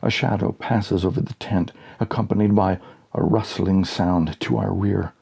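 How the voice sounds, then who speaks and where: A man narrates a comic short story in a dark, husky voice. His delivery is deadpan, building mock suspense through dramatic pauses, with a gravelly edge. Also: sits low. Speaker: audiobook reader. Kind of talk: scripted humorous story narration.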